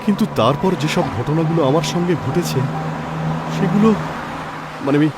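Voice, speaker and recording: A man playing an earnest, shaken doctor in a cartoon ghost story, speaking hesitantly, with loud music behind him. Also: on the high side, expressive, moderate pace, close to an everyday voice. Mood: shaken, explaining. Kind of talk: cartoon voice acting.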